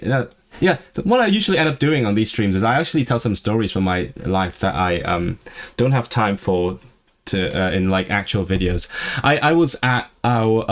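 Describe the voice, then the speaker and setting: A man who is not a native English speaker, hosting a casual livestream and chatting with his viewers as he reads and answers the live chat. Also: lowish voice. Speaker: livestream host.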